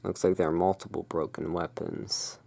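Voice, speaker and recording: A person playing the soft-spoken, musing owner of a gothic curiosity emporium in a halting, hushed ASMR roleplay. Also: sits low, little pitch movement, vocal fry. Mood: musing, relaxed.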